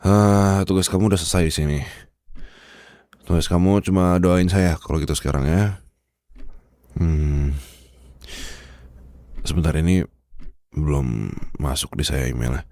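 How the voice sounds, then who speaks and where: Guy acting as stern indonesian office boss with a fever, super deep voice, dry close sound, asmr roleplay. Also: mellow, dry recording. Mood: insistent. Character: stern, demanding, proud male office boss, sick with fever.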